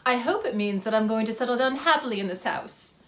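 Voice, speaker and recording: A woman plays a polite, easily flustered gentlewoman. Her voice is high and swoops up and down with genteel courtesy. There is faint noise behind this dramatized reading. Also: animated, quick pace, lightly acted. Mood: eager, pleased.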